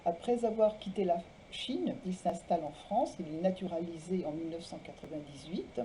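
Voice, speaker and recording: A woman presenting and reading literary texts aloud in a measured way, phrase by phrase. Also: fairly low pitch, warm tone, a little hiss or hum, low-quality recording. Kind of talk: literary talk, biographical introductions and read-aloud texts.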